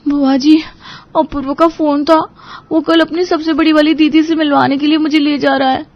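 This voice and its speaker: A woman's voice: high voice, flat delivery, moderate pace, lo-fi sound. A woman playing an anxious, earnest young bride-to-be in an audio story, with a girlish manner. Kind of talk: Hindi audio story acting.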